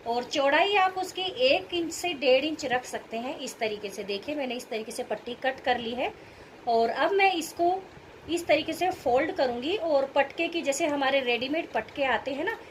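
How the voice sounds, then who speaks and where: A woman's voice: high-pitched, a little hiss or hum. A woman with a sore throat, explaining craft steps in a casual, instructional tutorial style. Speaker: host of a craft tutorial channel. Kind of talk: casual step-by-step sewing tutorial narration.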